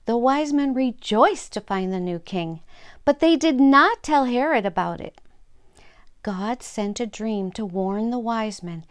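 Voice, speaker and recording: Slow-speaking older woman telling Bible stories. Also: fairly high pitch, expressive, clean tone. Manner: simple short sentences, explaining to young listeners. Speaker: storyteller and host of a children's story program. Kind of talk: Bible storytelling for children.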